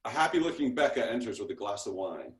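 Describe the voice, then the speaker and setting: A man's voice: on the low side, very animated, fast. Echoey man reading stage directions. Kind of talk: scripted narration at a table read. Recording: echoey room on a video call.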